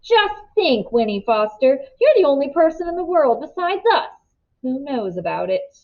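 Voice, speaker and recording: A woman's voice: high-pitched, very animated, moderate pace, dull audio, voice pushed up. A woman voicing an eager, carefree teenage boy in a storybook read-aloud, exclaiming in a folksy way. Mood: excited.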